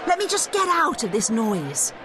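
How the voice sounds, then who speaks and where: A woman's voice: sits high, moderate pace, crowd noise, noisy background. A woman giving scripted educational commentary as a narrator, conversational and explanatory in manner. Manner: animated, explanatory. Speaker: narrator and study guide commentator.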